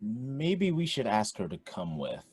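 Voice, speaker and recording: An awkward, friendly teenage boy, played by an actor in a radio play. The voice is husky and swings widely in pitch, earnest and a bit hesitant, recorded dry. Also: huge pitch swings, a little slow, darkish tone, dry recording, exaggerated voice. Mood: placating.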